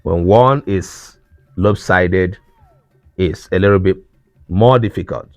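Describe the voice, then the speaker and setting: A man with a Nigerian accent, a native Igbo speaker, giving an earnest talk on culture in slow, deliberate phrases that trail off. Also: low-pitched, darkish tone, no echo, highs cut off, soft background music. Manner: slow, deliberate phrasing that trails off at sentence ends, with wry chuckles.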